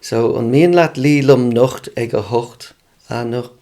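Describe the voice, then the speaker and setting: An Irish man with a gravelly, creaky voice at a middling pitch. He chats casually as a co-host, switching between languages, repeating phrases and pausing to explain words. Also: warm tone. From Ireland. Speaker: podcast co-host. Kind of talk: casual bilingual chat with on-the-fly translation.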